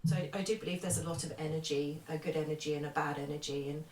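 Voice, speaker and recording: A woman's voice: low-pitched, steady tone, fast, darkish tone, echoey room. Distant mic, noisy background, woman, earnest and emphatic, casual interview conversation. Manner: earnest, emphatic, repeats phrases. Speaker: interviewer, spiritual medium.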